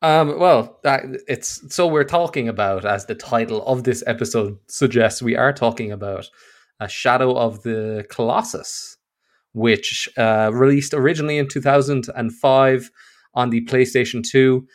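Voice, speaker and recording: A man's voice: medium pitch, measured pace. A man hosting a casual gaming podcast, upbeat and teasing with his co-host.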